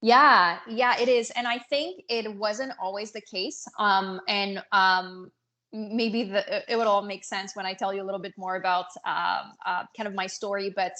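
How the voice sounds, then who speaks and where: A woman with a medium-pitched voice speaks as a guest in a casual interview. She is earnest and hesitant, often pausing and restarting as she explains herself. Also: fairly even intonation, bright, forceful tone. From North Africa and France. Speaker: podcast guest, business coach.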